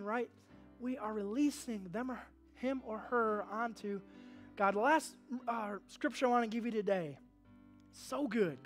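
High-voiced preaching man in his thirties. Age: 30s. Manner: animated preaching, swinging from hushed to loud; call-and-response with the congregation. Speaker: pastor. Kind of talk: sermon.

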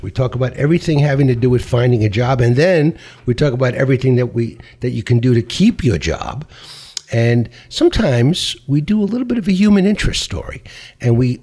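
A husky-voiced man hosts an interview show. He talks with eager enthusiasm, often restarting his sentences, at an ordinary middle pitch. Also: a little mellow. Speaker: talk show host. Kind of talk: hosted interview.

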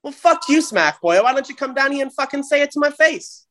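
A man's voice: extremely high pitch, animated, fairly quick, bright, forceful tone. Profane ranting man hosting a comedy show. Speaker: host. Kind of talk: profane comedic wrestling rant.

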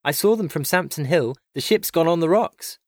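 A man's voice: on the high side, lively intonation, moderate pace, no room sound, clean studio sound, pitched above the performer's own voice. A man voicing a breathless female village schoolteacher in a storybook, speaking urgently. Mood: urgent, alarmed. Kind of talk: dramatic storybook character voice.

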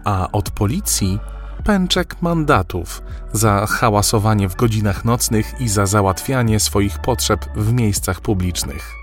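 Male narrator, lowish voice, wry expressive storytelling, children's audio story, some music behind. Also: a little slow.

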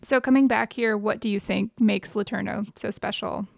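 Lady asking interview questions, lots of vocal fry, no room echo. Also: medium pitch, steady tone, normal speed, clean studio sound.

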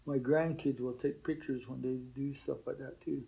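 An older man speaks at an unhurried pace in an interview, reflecting as he goes. His voice is dark and relaxed. He keeps it conversational, with plenty of "you know.". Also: medium pitch, creaky. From Oklahoma. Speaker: teacher at a boarding school.

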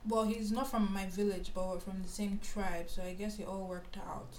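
A woman from Nigeria tells a story at an easy pace, chatty and animated, with plenty of "like" and "you know". Her voice sits mid-range and carries a noticeable roomy echo with a faint hiss behind it. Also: medium pitch, moderate pace. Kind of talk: casual storytelling chat.

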